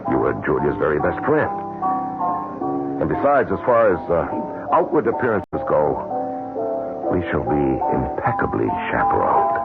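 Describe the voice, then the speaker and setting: A man playing a smooth, scheming rich widower with a suave, theatrical, insincerely solemn manner in an old-time radio mystery. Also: a bass voice, sing-song, unhurried, pauses often, heavily acted. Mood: sly, seductive. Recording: muffled narrowband radio drama, music behind.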